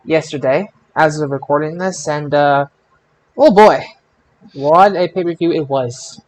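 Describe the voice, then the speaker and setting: Excitable man hosting a podcast. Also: on the high side, a little slow. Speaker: podcast host. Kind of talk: casual panel discussion of wrestling results.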